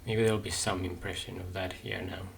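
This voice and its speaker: A man's voice: sits low, flat delivery, fairly quick, gravelly, a little hiss or hum. A man giving casual video game commentary, thinking aloud and repeating phrases over game music.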